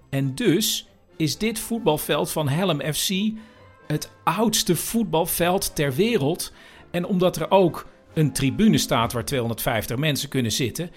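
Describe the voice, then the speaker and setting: A man hosting a podcast with informal scripted narration. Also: fairly high pitch. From Netherlands. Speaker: podcast host.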